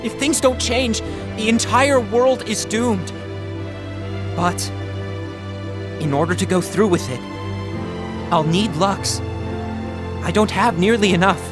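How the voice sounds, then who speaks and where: A man's voice: high voice, takes it slowly, pauses often. An actor playing an intense, secretive young man in a fantasy game, with a brooding, serious manner. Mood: desperate, urgent. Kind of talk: dramatic voice acting for a fantasy game. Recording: orchestral music behind the voice.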